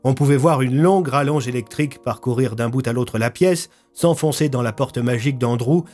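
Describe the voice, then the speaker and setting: Mid-pitched man narrating a story. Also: brisk, darkish tone, soft background music.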